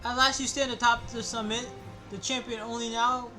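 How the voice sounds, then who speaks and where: A woman's voice: on the high side, little pitch movement, moderate pace, pushed and bright, soft background music. A woman streaming a game, casually reading aloud and reacting.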